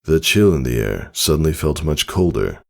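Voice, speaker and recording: A man with a very low voice reads scripted fiction as a dramatic narrator. His tone has plenty of fry and moves expressively up and down. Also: very animated, normal speed, darkish tone. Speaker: audiobook narrator.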